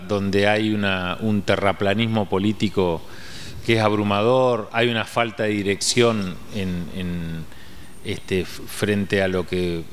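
An Argentine man in his forties interviewing conversationally outdoors, hesitantly thinking aloud through long questions. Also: fairly low pitch. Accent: Argentine Spanish. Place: outdoors in a street-side public space. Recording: faint outdoor ambient noise.

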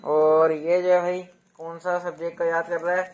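A man's voice: fairly high pitch, little pitch movement, normal speed. A young man talking to camera in a casual home vlog, chatty and full of filler phrases, filmed on a phone held close. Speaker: vlogger. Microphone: phone held close, selfie framing.

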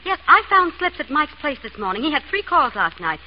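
An actress playing a sharp, teasing, devoted wife of an amateur sleuth in a 1930s radio drama, with brisk wry banter. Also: female voice, high-pitched, sing-song. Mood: wry, pointed. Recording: scratchy, dull old radio broadcast.

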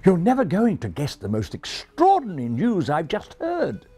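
A man's voice: medium pitch, wildly swooping pitch, a little slow, mellow, breathy edge, pitched above the performer's own voice, heavily acted. A man voicing a proud English pigeon in a storybook, with a refined English accent and a proper, condescending manner. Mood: delighted.

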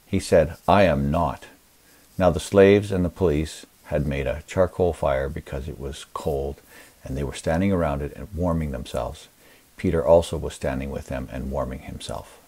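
A man reads scripture in a measured narrative way. His voice is extremely deep, dark and husky. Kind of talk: scripture reading.

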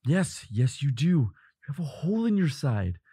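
A man playing a devoted, protective boyfriend in a dramatic audio roleplay, with an emotive, halting delivery. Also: fairly high pitch, sing-song, mellow, breathy edge, no room sound, studio quality, full character voice. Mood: frantic, pleading. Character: devoted boyfriend of a vampire, protective, frantic, defiant.

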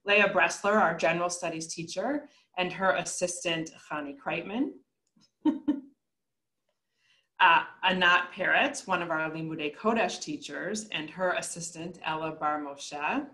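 A woman's voice: lowish voice, unhurried, sharp tone. A woman principal introducing her teachers on a video call, friendly and a little flustered. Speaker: school principal.

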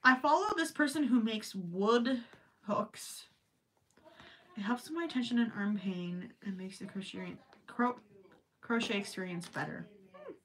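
A young woman with a broad Minnesota accent hosts a crochet livestream, chatting in a loose, rambling way full of wry asides. Her voice is bright and forward. She sits a little back from the mic, so she sounds slightly distant. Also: fairly high pitch, measured pace, long pauses, slight background noise. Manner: chatty, rambling, joking asides with frequent laughs. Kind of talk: casual livestream chat. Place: small indoor craft room with yarn shelves.

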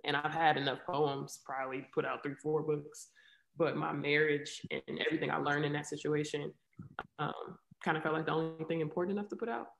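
A young woman in her twenties talks candidly over a webcam from her living room, thinking out loud with plenty of fillers. Her voice carries a gravelly fry. The room around her is noticeably echoey. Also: deep voice, fairly even intonation, a little fast. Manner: candid, reflective, many fillers like "right?" and "um". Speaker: interview guest, athlete and poet. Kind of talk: casual video call interview. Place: living room at home, indoors. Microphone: video call webcam. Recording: roomy echo on a video call.

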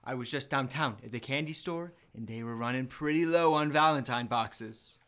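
A man's voice: mid-range voice, moderate pace, a bit bright, natural voice. A young man playing a jovial, teasing next-door neighbor in an old-time radio comedy, with a playful, folksy manner. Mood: chatty. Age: teens to young adult. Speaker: student actor. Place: school library, indoors, live stage reading.

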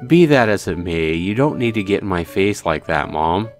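A glum visual novel schoolboy, read by a man in a youthful, grumbling voice. He sounds sulky and dejected, with expressive pitch over background music. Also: moderate pace.

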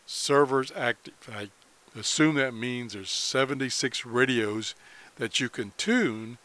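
A man with a mid-range voice talks through an on-screen demonstration in a casual, unscripted way. He sounds like a hobbyist explaining things as he goes. His phrasing is halting, with pauses partway through sentences. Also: medium pitch, expressive, bright, forceful tone. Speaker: hobbyist presenter of a shortwave radio tutorial.

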